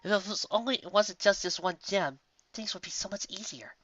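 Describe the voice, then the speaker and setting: A woman's voice: medium pitch, brisk, a bit bright, dry recording, muffled recording, voice pushed up, lightly acted. A woman voicing a thoughtful, studious young female unicorn in a storybook reading, earnest and explanatory. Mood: earnest, pensive.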